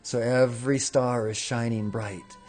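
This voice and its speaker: Calm male spiritual host, faint music. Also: medium pitch, unhurried. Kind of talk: meditative spiritual talk leading chanted affirmations. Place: indoor room with windows in the mountains.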